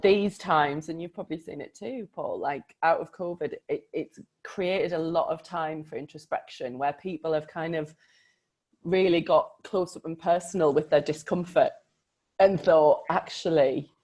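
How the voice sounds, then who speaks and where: A woman with a notably low voice talks about a book in a relaxed conversation. She is a coach, and she speaks reflectively, leaning on key words for emphasis. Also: normal speed. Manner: reflective, emphatic, often laughs.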